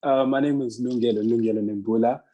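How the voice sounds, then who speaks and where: A man in his early twenties, a panel guest answering reflectively with hesitant pauses. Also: mid-range voice, fairly even intonation, dark tone, dull audio. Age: 20s. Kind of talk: casual group discussion.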